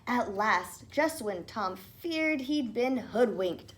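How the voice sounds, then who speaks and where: A woman's voice: fairly high pitch, animated, takes it slowly. A woman narrating a children's storybook as a teacher reading aloud.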